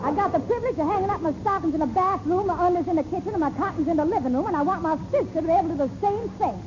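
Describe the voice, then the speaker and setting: Very high fast bossy sister, sassy, combative, old radio comedy, heavy noise. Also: female voice. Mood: defiant. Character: brash, bossy, pushy sister of a lodger. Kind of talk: 1940s radio comedy acting. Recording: very noisy, dull old radio recording.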